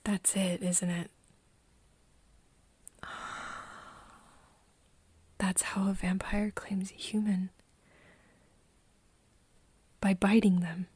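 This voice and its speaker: A woman playing a nervous, tender young friend of a vampire in an ASMR roleplay, speaking softly and hesitantly, often slipping into a whisper. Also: medium pitch, level tone, no echo. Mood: hesitant, anxious. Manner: hesitant, halting, breathy whispering mixed with soft speech. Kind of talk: ASMR roleplay, intimate one-sided dialogue to listener.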